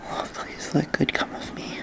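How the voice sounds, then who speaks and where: An actor playing a lonely, dreamy young janitor, telling his story in a tender, halting, hushed way as in an intimate audio drama. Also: whispered, close to an everyday voice. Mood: pleading, drowsy. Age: young adult.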